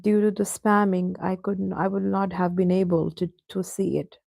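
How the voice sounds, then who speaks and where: Patient female teacher for an online class, gravelly voice, dry close sound. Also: mid-range voice, flat delivery, moderate pace, darkish tone, dry recording. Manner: patient, addresses students by name.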